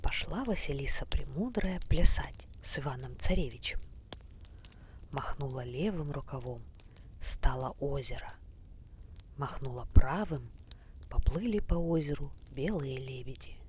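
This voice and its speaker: Calm male storyteller, very slow hushed bedtime narration, faint fire crackle behind. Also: medium pitch. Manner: hushed, breathy, unhurried. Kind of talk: bedtime fairy-tale narration. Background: faint fireplace crackle.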